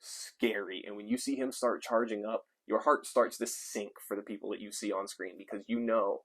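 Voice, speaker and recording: Enthusiastic low-voiced man reviewing a film. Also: fairly even intonation, normal speed, fairly bright tone, slightly rough, rough recording. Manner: enthusiastic, rambling with frequent uh fillers. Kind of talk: casual unscripted movie review.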